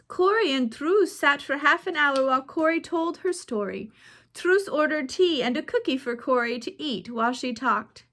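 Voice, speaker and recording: A woman's voice: fairly high pitch, fairly bright tone. A woman reading a chapter of a biography aloud as narrator, lifting her voice for quoted lines. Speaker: audiobook reader. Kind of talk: scripted narration.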